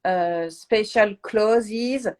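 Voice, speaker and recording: French-accented woman, halting speech. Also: medium pitch, a little mellow. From France. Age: middle-aged. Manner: halting, searching for English words. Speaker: interviewed guest, fashion PR agent. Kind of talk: live online interview, explanatory talk.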